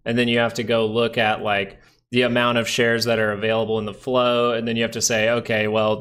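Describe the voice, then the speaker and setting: A man's voice: mid-range voice, fairly bright tone. Nasal-voiced man chatting through live charts. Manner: chatty, rambling, lots of "like" fillers. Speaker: stock market livestream host. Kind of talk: casual live market commentary.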